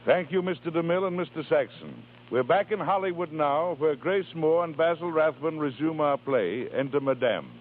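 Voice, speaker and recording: A man's voice: fairly high pitch, animated, moderate pace, hardly any pauses. A man narrating a 1930s radio drama as its formal announcer. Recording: tinny old radio, music behind.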